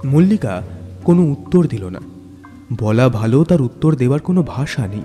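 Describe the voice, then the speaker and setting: A man tells a scripted horror tale as its narrator. His voice is mid-pitched and dramatic, with music clearly playing underneath. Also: warm tone. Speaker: storyteller, narrator.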